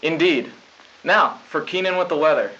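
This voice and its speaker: A man's voice: on the high side, animated, normal speed. A young man anchoring a scripted school news broadcast from a studio desk, in a smug, upbeat manner. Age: young adult. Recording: lo-fi hissy old tape.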